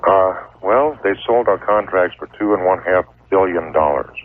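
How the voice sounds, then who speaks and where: Middle-aged male caller, very low voice, measured and halting, tinny phone line on radio. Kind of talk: explaining a problem on a phone-in.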